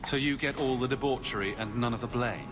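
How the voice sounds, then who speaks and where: An actor playing a loyal, plain-spoken adventurer hero in a video game. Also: male voice, medium pitch, fast, music in the background, natural voice. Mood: wry. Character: loyal, frank adventurer hero, supportive friend.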